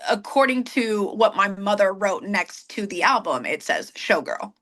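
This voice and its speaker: A woman in her thirties, a burlesque performer, telling stories in a warm, chatty interview. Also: mid-range voice, lively intonation, a little slow. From United States. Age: 30s. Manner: warm storyteller, many restarts. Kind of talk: casual interview chat.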